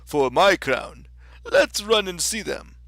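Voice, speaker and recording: A man voicing a pompous, excitable old king in a storybook, with a blustering, emphatic delivery. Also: high-pitched, slow, no room sound, pitched above the performer's own voice, heavily acted. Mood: self-important.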